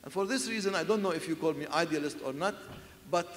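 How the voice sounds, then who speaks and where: A man's voice: on the high side, fast, fairly bright tone. A man answering on a festival panel, earnest and searching for words, often saying "you know". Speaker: panel speaker, church leader. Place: large festival hall with audience. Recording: echoey hall sound.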